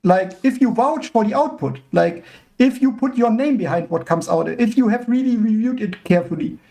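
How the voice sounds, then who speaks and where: High, gravelly man. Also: expressive, dark tone. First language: German. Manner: deliberate, searching for words. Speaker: guest, open-source developer. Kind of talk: casual podcast conversation.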